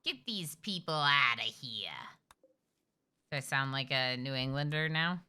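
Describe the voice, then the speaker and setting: A woman streaming a game live, chatty and excitable, talking to her characters as she plays at home. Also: extremely low pitch, measured pace, clean tone. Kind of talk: live gameplay commentary.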